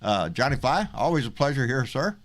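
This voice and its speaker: A man's voice: mid-range voice, very animated, a little fast. A man hosting a casual live-stream, folksy and rambling, repeating his words. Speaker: host.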